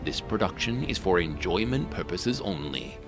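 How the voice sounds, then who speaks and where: A male narrator delivers scripted announcements. His voice is on the low side with lively intonation. Music plays clearly behind him. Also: moderate pace. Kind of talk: scripted narration, reading credits.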